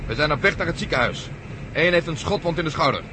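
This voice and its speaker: Fast-talking police inspector played by a man, noisy recording. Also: expressive, natural voice. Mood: urgent, frustrated. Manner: businesslike, clipped. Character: brisk, level-headed male police inspector, dutiful. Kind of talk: radio drama acting. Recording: noisy radio play recording, engine-like rumble.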